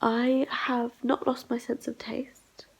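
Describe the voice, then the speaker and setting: Sick young woman rambling at home, croaky gravelly voice, lots of breathy whispering. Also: level tone, slow pace. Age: young adult. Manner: rambling, half-whispered, low-energy talk. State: sick, congested and hoarse. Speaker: vlogger. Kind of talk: casual diary vlog. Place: flat, indoors.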